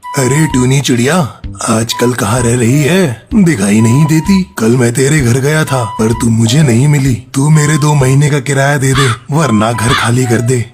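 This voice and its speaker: Man voicing a stern, mid-pitched male bird. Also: normal speed, music in the background, close to an everyday voice. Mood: demanding. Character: stern adult male bird, moneylender and elder. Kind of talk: children's cartoon story voice acting.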